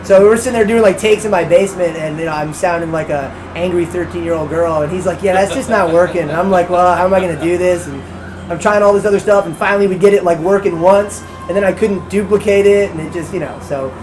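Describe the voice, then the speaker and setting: Guy in a band, kinda high voice, talks fast and rambles, noisy background outside. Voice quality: high voice for a man. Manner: fast, rambling, lots of "you know". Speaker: band member. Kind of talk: casual interview chat. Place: outside a music venue.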